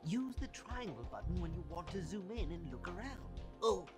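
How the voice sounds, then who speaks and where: A woman voicing a small, helpful game fairy who whispers tips in a hushed, secretive way. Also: on the low side, sing-song, normal speed. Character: helpful little fairy girl sharing tips. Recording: game audio over loud music.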